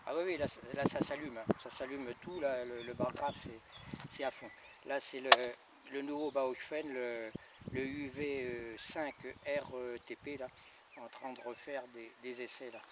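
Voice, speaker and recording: A man in his fifties is heard from a few steps away outdoors, with clear background noise. His pitch is middling and he talks casually as a radio operator, in halting, repeated phrases. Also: steady tone. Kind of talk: casual radio check chat. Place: outdoors in a gravel yard by a house. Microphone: camera a few metres away. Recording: distant camera mic, noisy open air.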